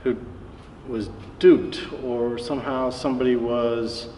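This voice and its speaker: A man's voice: medium pitch, level tone, mellow. Male interviewer, distant in an echoey room. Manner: halting, roundabout phrasing. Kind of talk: conversational interview questions. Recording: echoey reverberant room, distant mic, faint noise.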